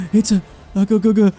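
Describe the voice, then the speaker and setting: A man doing excited live game commentary as a streamer, exclamatory, repeating himself and swearing casually. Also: high voice. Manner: exclamatory, repeats words and phrases.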